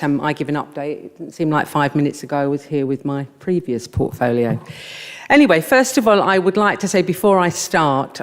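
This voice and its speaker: Low-voiced woman councillor in an echoey hall. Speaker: councillor presenting portfolio update. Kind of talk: semi-formal committee presentation. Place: indoor council meeting room. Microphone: meeting room microphone. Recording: echoey meeting hall, long reverb.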